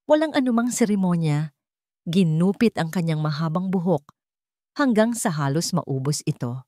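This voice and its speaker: A woman with a Filipino accent reads a scripted dramatic story in measured, short phrases. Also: low voice, a little mellow, no echo, studio quality.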